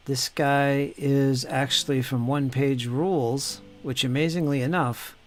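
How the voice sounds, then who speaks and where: A man's voice: medium pitch, steady tone, a little slow, faint music behind. A man hosting a casual live stream while painting miniatures, chatting with viewers by name and muttering to himself as he works. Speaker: hobby streamer.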